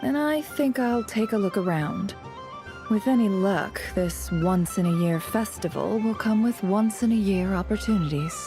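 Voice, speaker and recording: Measured, self-possessed game woman. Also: medium pitch, expressive, over music. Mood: measured, hopeful. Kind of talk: video game voice acting.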